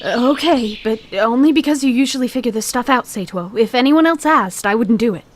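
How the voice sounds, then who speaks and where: A woman's voice: fairly high pitch, expressive, brisk, noisy background, natural voice. A woman voicing a reluctant but trusting young creature friend in an amateur cartoon-style audio drama.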